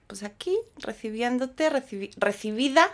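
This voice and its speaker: A woman's voice: fairly high pitch, animated, normal speed. A woman from Spain with a Spanish accent, a yoga teacher chatting as a podcast guest and telling her story with lots of fillers. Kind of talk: casual conversation.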